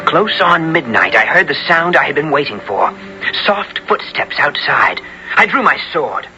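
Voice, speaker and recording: A bright-voiced, bold young hero. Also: male voice, on the high side, big pitch swings, measured pace, faint music behind, exaggerated voice. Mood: tense narrating. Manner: earnest, theatrical, archaic formal speech. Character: bold, vengeful young English gentleman hero. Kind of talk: 1970s radio drama acting and first-person narration. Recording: dull 1970s radio broadcast.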